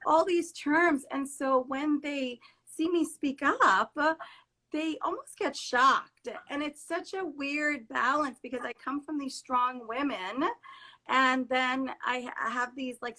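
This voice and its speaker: A woman's voice: high-pitched, pushed and bright. A woman from Trinidad, a guest therapist, talking earnestly and emphatically in a casual conversation on a video call. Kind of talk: casual conversation on a live stream.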